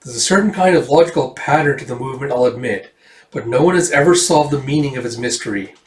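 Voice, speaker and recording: A man's voice: medium pitch, expressive, fast, reverberant, far from the mic, close to an everyday voice. Grandiose, scheming male villain acted by a man. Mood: explanatory. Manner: grandiose, theatrical, condescending. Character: vengeful, scheming, self-proclaimed wicked man, boastful villain.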